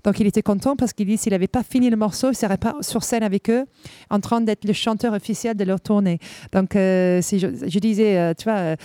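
A woman hosting an interview, chatty and quick to laugh, translating for her listeners. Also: medium pitch, steady tone, warm tone, clean tone, no room sound. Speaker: radio host and interpreter.

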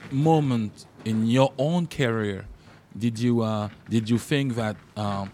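A man's voice: medium pitch, slow, background noise. A man interviewing a musician, stammering and repeating words as he builds long questions. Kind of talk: casual interview.

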